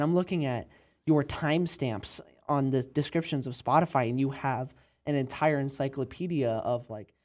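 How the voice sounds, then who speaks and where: A man's voice: medium pitch, darkish tone. A chatty man hosting an interview. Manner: laughs often, rambling questions. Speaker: interview host. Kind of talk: casual interview chat.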